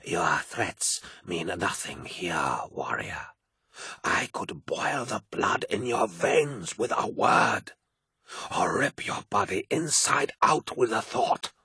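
A man voicing an old female cult priestess, speaking slowly in a breathy, cryptic, menacing hush. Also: lively intonation, no room sound, exaggerated voice. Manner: slow, low and cryptic. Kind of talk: audiobook character voice.

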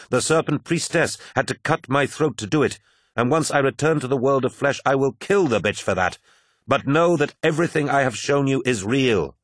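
A man voicing a sly, sneering warrior priest of dark sorcery in an audiobook, a persuasive tempter with a deliberate, insinuating manner. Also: mid-range voice, no echo, studio quality, pitched above the performer's own voice, lightly acted. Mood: earnest, persuasive.

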